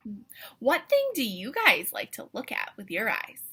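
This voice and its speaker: A woman reading a picture book aloud to young children like an excited teacher, with animated wonder, questions and asides in a breathy voice. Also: fairly high pitch, normal speed.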